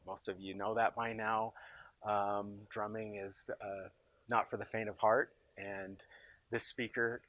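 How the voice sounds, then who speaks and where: An American man giving an informal, unscripted product pitch as a company boss, speaking with enthusiasm and emphasis. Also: little pitch movement, a little slow, pushed and bright. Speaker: company founder presenting his loudspeaker in a product demo.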